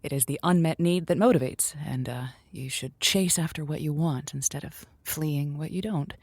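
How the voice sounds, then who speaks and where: Gushing, flustered radio advice host, close and dry. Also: female voice, low voice, a little mellow, breathy edge, dry recording. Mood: flustered, upbeat. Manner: effusive, halting with stammers and repeats. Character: gushing, flustered woman hosting lonely-hearts radio show. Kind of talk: improvised audio comedy, radio host patter.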